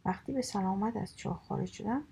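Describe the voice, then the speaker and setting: A woman's voice: mid-range voice, gravelly, warm tone, breathy edge. A woman voicing a cunning male coyote in a whispered fable, smug and persuasive. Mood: scheming.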